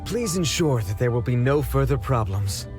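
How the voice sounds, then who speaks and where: A man's voice: mid-range voice, big pitch swings, over music, lightly acted. A man voicing a worried, fussy middle-aged fireworks merchant in a game cutscene. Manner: worried, fussy, quick to anger.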